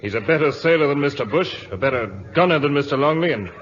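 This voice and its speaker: An actor playing a loyal, earnest British naval lieutenant in an old radio drama, polite and deferential. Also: male voice, on the high side, expressive, fast, a bit bright. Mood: admiring. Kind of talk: 1950s radio drama acting. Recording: muffled old radio broadcast recording.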